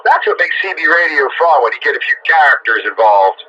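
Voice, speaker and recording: A man's voice: a little high, sing-song, a little fast, sharp tone, lo-fi sound. A man chatting casually on the air as a radio operator, heard over a two-way radio. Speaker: amateur and CB radio operator, uploader of the show. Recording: noisy band-limited CB radio transmission.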